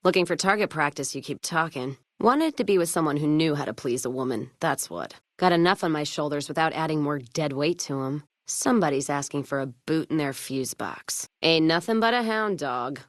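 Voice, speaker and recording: An American woman playing a jaded, hard-drinking, foul-mouthed caravan trader in a video game, with a gruff, sardonic, folksy manner. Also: low-pitched, lively intonation, moderate pace, hardly any pauses, no room sound, studio quality. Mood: scornful, teasing. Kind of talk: video game companion voice lines.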